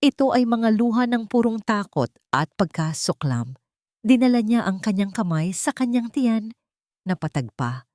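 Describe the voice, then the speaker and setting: A woman's voice: fairly high pitch, big pitch swings, normal speed, darkish tone, no room sound, clean studio sound. A woman narrating a scripted dramatic story.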